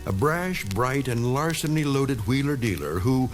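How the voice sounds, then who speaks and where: An actor playing an ominous, wry, measured narrator of a radio drama anthology in a dramatic scripted style. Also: male voice, mid-range voice, animated, natural voice. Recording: loud music behind.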